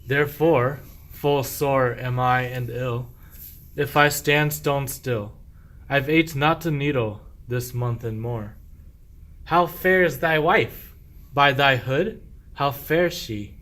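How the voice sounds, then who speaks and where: A man's voice: medium pitch, slow pace, fairly bright tone. A man playing a sly, boastful, self-pitying sheep thief in a medieval verse radio play, with declamatory rhyming speech. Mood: complaining.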